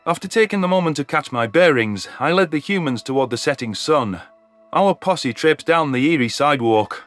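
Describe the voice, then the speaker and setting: A man's voice: fairly high pitch, a bit bright. A man reading scripted first-person science fiction narration as an audio story narrator. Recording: music under the voice.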